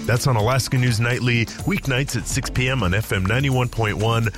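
A man's voice: mid-range voice, fairly quick, music in the background. A man reading a scripted promo as a radio station announcer.

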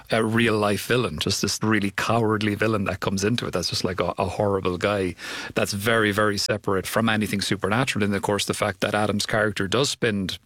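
Low-voiced Irish man from Cork. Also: fairly bright tone, no room sound. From Ireland (Cork). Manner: thoughtful, repeats words and restarts often. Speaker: filmmaker guest. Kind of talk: interview answers.

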